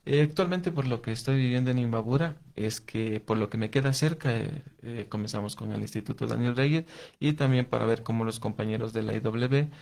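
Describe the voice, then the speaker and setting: Ecuadorian guy answering interview questions, lots of vocal fry, explains stuff conversationally, restarts sentences. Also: on the low side, a little mellow. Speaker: interview guest, watercolor artist and youth coordinator.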